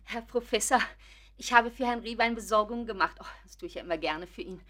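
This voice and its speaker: A woman acts a flustered spinster neighbour in a radio drama. Her voice is high and very bright, and she chatters on with coy protests, shyly smitten and fussy. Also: fast, lightly acted. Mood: flustered, anxious. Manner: flustered, rambling, coyly protesting. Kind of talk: German radio play acting.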